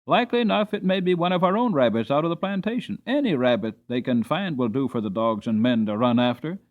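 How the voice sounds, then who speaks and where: A man voicing a brisk, knowing old riding horse, offhand and emphatic, in a dramatic storybook reading. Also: medium pitch, lively intonation, phone-quality sound, lightly acted. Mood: brusque, dismissive.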